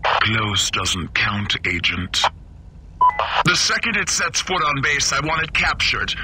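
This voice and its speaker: An actor playing a cold, commanding government boss in a game cutscene, curt, authoritative and skeptical. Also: male voice, medium pitch, big pitch swings, takes it slowly, very noisy. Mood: stern, clipped. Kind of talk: video game cutscene acting.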